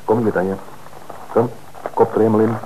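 A man's voice: fairly low pitch, little pitch movement, a little fast, noisy background, lightly acted. An actor playing a dutiful male police dog handler who gives commands to his dog in an old radio play. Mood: firm.

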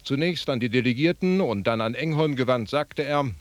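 A man's voice: medium pitch, normal speed, no room sound. A man reading a scripted political news report as a radio correspondent.

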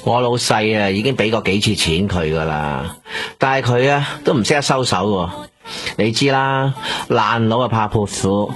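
An actor playing a smooth-talking middleman who coaxes a woman on a boss's behalf in a radio drama. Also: on the low side, normal speed, fairly bright tone. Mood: coaxing. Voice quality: wheedling, persuasive. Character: smooth-talking middleman running errands for a boss. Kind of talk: Hong Kong radio drama acting.

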